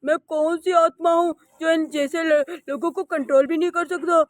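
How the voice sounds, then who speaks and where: A grumpy male house ghost in a cartoon, voiced by a woman, forever exasperated and scolding. The voice sits very high and sounds close and dry, with no room around it. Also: steady tone, moderate pace, no echo. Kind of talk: cartoon voice acting.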